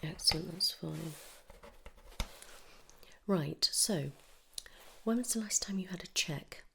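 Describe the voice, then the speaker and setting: British female ASMR artist, hushed whisper with low, creaky edges, gentle clinical questioning, audible background noise. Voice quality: breathy whisper and hushed soft voice. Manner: gentle, unhurried, reassuring questioning. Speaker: ASMR performer playing a dental receptionist or dentist. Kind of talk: ASMR roleplay, whispered and soft-spoken.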